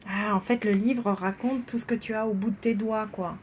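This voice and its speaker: A woman's voice: mid-range voice, fairly even intonation, moderate pace, a little mellow. A woman casually prompting a young reader with short reactions and simple translations. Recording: clearly noisy home-style recording.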